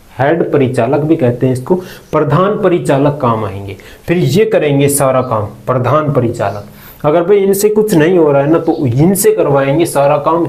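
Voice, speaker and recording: Higher-pitched man explaining informally. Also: darkish tone. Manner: conversational, asks questions and answers them, frequent check-in tags. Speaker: presenter of a job-recruitment explainer channel.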